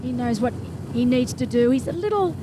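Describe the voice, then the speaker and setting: A woman with a bright, high voice calls a triathlon live, brisk and enthusiastic, with chatty asides. Engine and wind noise from the race can be heard clearly behind her. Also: expressive. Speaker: race commentator. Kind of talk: live sports commentary.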